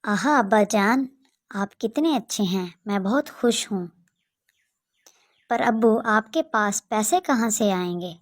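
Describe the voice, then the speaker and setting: A woman's voice: medium pitch, slow, dry recording, studio quality. A woman voicing a studious, grateful young village daughter in a children's moral story, polite and earnest. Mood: delighted.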